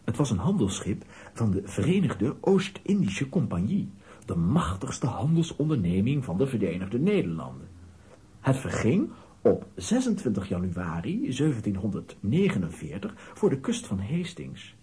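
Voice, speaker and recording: An actor playing an earnest professor in a children's audio play, lecturing with slight hesitation. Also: male voice, on the low side, huge pitch swings, moderate pace, dark tone, breathy edge, heavily acted. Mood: explaining. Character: learned male professor, earnest and explanatory.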